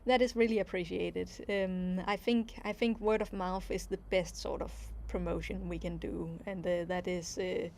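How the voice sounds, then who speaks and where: A woman's voice: fairly low pitch, steady tone, normal speed, creaky, few pauses, a little mellow, a little hiss or hum. A woman with a Danish accent, hosting a casual livestream Q&A as an animation creator, reading viewer questions and answering thoughtfully with plenty of ums. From Denmark. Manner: reads viewer questions aloud, then answers thoughtfully with many fillers.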